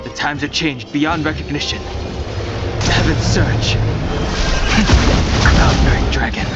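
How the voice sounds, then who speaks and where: Solemn, slow dragon warrior. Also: male voice, a little high. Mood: solemn, commanding. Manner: grave, incantatory. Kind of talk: video game voice acting. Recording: dull game audio under very loud music.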